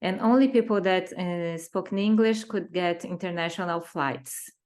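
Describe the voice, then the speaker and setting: A woman's voice: fairly low pitch, normal speed, a little mellow. A woman with a Brazilian Portuguese accent, a native Portuguese speaker answering interview questions in careful English, searching for words as she goes. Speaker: interview guest.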